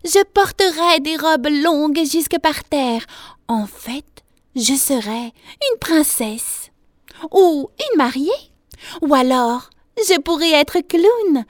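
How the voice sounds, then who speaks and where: A woman voicing a dreamy, excitable, boastful little girl pig from a children's storybook. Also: high-pitched, slow pace, no room sound, studio quality, voice pushed up. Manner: exclaiming, daydreaming aloud.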